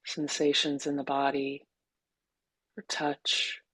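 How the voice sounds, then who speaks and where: A woman teacher leading a guided meditation in a gentle, unhurried way, leaving long pauses between short phrases. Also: deep voice, flat delivery, slightly rough, dry recording, poor audio.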